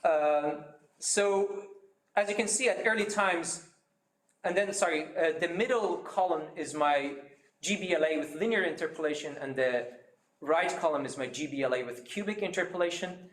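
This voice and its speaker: A man giving a seminar talk, explaining his method step by step with many pauses and fillers. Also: a little high, roomy sound. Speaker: seminar speaker.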